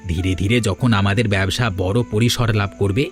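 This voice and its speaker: A man's voice: lowish voice, steady tone, normal speed, music in the background, lightly acted. A man playing an earnest, downcast young wastrel brother who pleads for redemption in a moral story cartoon. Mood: pleading. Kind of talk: dramatic cartoon dialogue.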